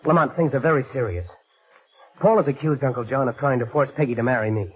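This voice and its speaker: Man acting a fast, anxious young man, old radio. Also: mid-range voice, breathy edge, close to an everyday voice. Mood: earnest, pleading. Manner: earnest, pressing, melodramatic. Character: anxious, insistent young stepbrother pleading his case. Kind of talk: 1940s radio drama acting. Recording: muffled 1940s radio recording.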